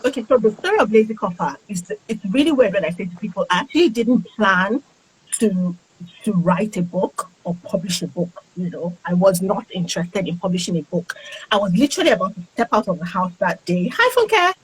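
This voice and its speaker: A woman telling the story behind her book on a casual livestream. Her voice is very husky, and her pitch is ordinary. She is friendly and greets viewers by name as she goes along. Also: lively intonation, fairly quick, poor audio. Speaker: author, guest.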